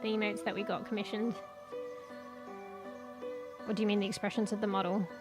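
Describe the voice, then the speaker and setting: A woman streamer chatting casually with her viewers, rambling with lots of fillers and the odd swear, her voice going a bit hoarse. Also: mid-range voice, little pitch movement, brisk. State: losing her voice, coughing. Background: music.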